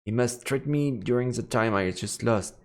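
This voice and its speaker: A man's voice: mid-range voice, moderate pace. A man narrating a visual novel aloud in a dramatic storytelling style as he streams. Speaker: streamer reading a game aloud. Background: faint music.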